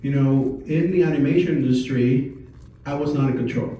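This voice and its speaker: A man in his forties from Puerto Rico gives an informal presentation, telling a personal story in a conversational, halting way. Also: mid-range voice, dark tone, recorded from a distance. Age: 40s. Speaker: speaker at a company sales meeting. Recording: echoey room from afar, muffled, faint noise.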